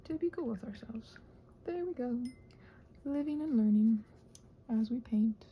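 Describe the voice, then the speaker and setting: A woman with a fairly high, creaky voice rambles casually as she works on a craft. She speaks softly, sometimes nearly whispering, thinking aloud and reassuring herself. Faint noise sits behind her. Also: expressive, unhurried, highs cut off. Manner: soft-spoken, often dropping to a whisper; musing aloud, self-reassuring. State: short on sleep. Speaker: craft vlogger and ASMR host.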